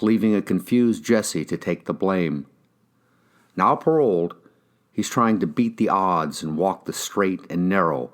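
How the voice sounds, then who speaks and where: A man with an American accent reading first-person crime fiction aloud as a scripted narration. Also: lowish voice. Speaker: audiobook reader, actor.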